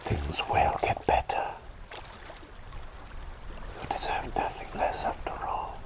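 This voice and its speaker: A man playing a gentle, doting plague doctor in an ASMR roleplay, speaking in soothing, slightly formal, breathy whispers. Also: slow, natural voice. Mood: soothing, lulling. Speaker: ASMR performer.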